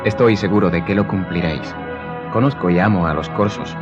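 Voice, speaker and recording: An actor playing a composed, authoritative gentleman, formal and paternal, in a radio drama. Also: sits low, brisk, natural voice. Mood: paternal, solemn. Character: composed, authoritative count, also posing as kindly abbot. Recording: muffled audio under prominent music.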